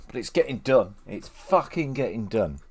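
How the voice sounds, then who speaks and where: A man's voice: lowish voice, big pitch swings, normal speed, darkish tone. A man with a Manchester accent commentating his gameplay bluntly, swearing often. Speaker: game streamer. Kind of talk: casual gaming commentary. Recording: noisy stream audio.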